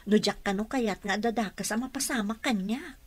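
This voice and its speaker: A woman's voice: medium pitch, fairly quick, no echo, natural voice. A woman with an Ilocano accent playing a friendly, chatty young woman in a radio comedy-drama. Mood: confiding.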